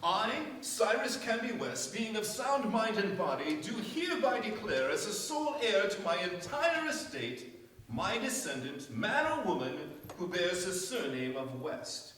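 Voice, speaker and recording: A man playing a formal, authoritative family lawyer in a staged radio play, with an official, deliberate delivery. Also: sits high, very animated, fairly bright tone, exaggerated voice. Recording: echoey stage, distant mic, faint hiss.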